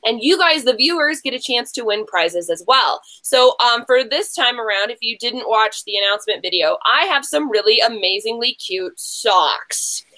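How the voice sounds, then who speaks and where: A woman's voice: fairly high pitch, animated, moderate pace, few pauses, bright, forceful tone, poor audio. A woman hosting a casual livestream game show in a chatty, gushing way, rambling and interrupting herself with slangy asides.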